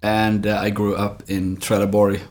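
Swedish guy in his 40s, kinda low voice, lots of uhs and restarts, chatty interview. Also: little pitch movement, moderate pace, a little mellow. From Sweden. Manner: hesitant, many fillers and restarts. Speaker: guest actor. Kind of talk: casual interview conversation.

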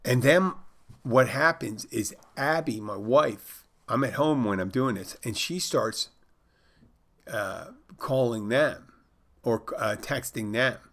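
A man's voice: medium pitch, slow pace, a bit bright. A man casually recounting a story, rambling with restarts and side comments. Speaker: storyteller recounting trolling a scammer. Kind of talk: casual spoken anecdote.

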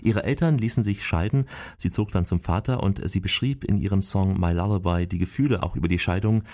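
A man with a very low voice reports on a trip for a radio travel show. He talks in a lively, chatty way, with playful asides. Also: fairly quick. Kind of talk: radio travel report, voicing over interviews.

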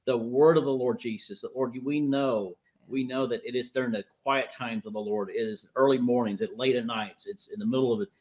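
A man in his fifties with a speech impediment, preaching and praying spontaneously in a halting, repetitive way on a livestream. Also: mid-range voice, steady tone, darkish tone, low-quality recording. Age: 50s. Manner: halting, repeats and stumbles over words. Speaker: preacher leading prayer. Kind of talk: spontaneous charismatic prayer and exhortation. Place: online livestream call.

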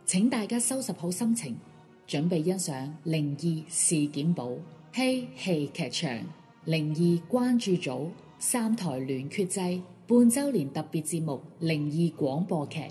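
A woman's voice: low voice, animated, a little slow, warm tone. A woman with a Hong Kong Cantonese accent reading a scripted pre-show announcement for a radio drama. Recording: faint music under the voice. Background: faint background music.

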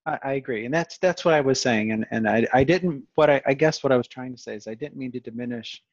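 A man's voice: medium pitch, fast talker, dry recording. A man hosting a podcast in casual conversation, stuttering and restarting his phrases often. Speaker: podcast host. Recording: dull, dry lo-fi sound.

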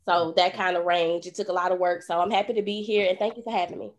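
A young woman from Birmingham, Alabama, telling her own story in a chatty, lively podcast chat. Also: fairly low pitch, quick pace.

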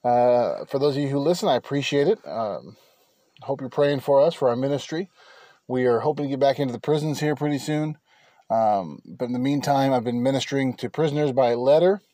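A man hosting a Bible teaching podcast from inside his car, talking in a halting way with many fillers and restarts. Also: medium pitch, warm tone, dry recording. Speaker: podcast host and preacher.

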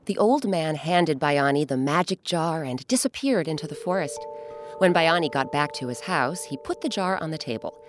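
Casting: female host-narrator for a kids' story podcast, deep for a woman, highly expressive, over music. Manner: expressive, dramatic pauses, addresses young listeners. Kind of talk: scripted storytelling for children.

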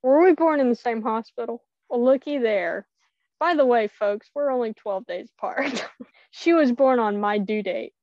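A woman's voice: on the high side, moderate pace, no echo. A young woman with a light Louisiana accent co-hosting a podcast, adding wry, teasing comments. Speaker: podcast co-host. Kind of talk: casual chat. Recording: dry, slightly muffled recording.

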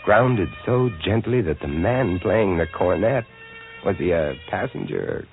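An actor playing a desperate, weary lighthouse keeper, narrating dramatically and deliberately in old-time radio horror style. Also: male voice, low voice, very animated, faint music behind, heavily acted. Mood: hushed dread. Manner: dramatic, deliberate, hushed to urgent swells. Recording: tinny, band-limited vintage radio recording.

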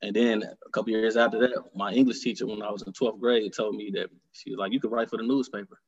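Husky man, reflective. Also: lowish voice, fairly even intonation, muffled recording. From Detroit, Michigan. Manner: reflective and earnest, halting. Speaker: author, interview guest. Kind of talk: conversational interview.

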